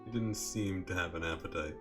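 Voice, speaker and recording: Man acting polite teenage boy, lowish voice, calm, even-tempered, video game dialogue, light music behind. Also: fairly quick, natural voice. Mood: concerned.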